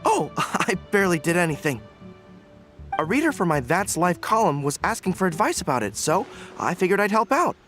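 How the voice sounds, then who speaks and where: An actor voicing an earnest, modest young officer in a video game, speaking politely. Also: male voice, sits high, sing-song, fairly quick, a bit bright, faint music behind. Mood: friendly, modest.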